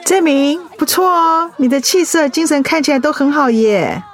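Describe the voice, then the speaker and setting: An actress playing a warm, reassuring close friend in a radio drama, expressive and encouraging. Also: female voice, high voice, moderate pace, crowd noise. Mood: cheerful.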